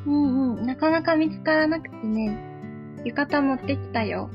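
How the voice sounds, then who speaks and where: A woman's voice: high-pitched, normal speed, lo-fi sound, faint music behind. A woman playing an easygoing, agreeable young woman on a date in an amateur comedy radio drama.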